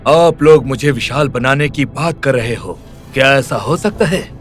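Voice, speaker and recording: A man voicing a worried, pious lion king in an animated moral story, with earnest, dramatic delivery. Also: medium pitch, a little slow, natural voice. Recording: music under the voice.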